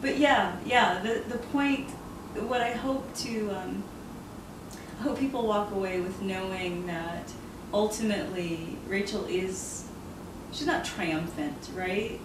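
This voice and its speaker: A woman's voice: mid-range voice, slow. Earnest woman author, roomy distant sound. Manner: earnest, reflective, laughs often. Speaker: author interviewed about her novel. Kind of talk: casual interview conversation. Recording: roomy and noisy, mic far off.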